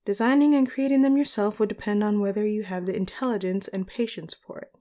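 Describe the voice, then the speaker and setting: A woman playing a polite, composed supervillain boss in a scripted audio roleplay, speaking to the listener in formal, measured phrases. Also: medium pitch, fairly even intonation, mellow, lightly acted. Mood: patient, explanatory. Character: polite, charismatic female supervillain sorceress boss, caring and composed.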